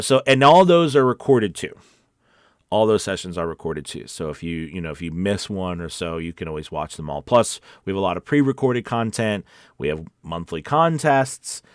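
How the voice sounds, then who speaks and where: Low-voiced man chatting casually on a livestream. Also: smooth, dry recording, studio quality. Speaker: voice-over coach hosting a livestream. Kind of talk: casual livestream chat, reading viewer comments.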